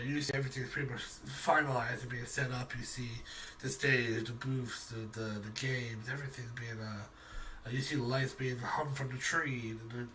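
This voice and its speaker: A man narrating a tabletop game as game master, halting and searching for words as he improvises. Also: medium pitch, bright, forceful tone. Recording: distant mic with faint noise.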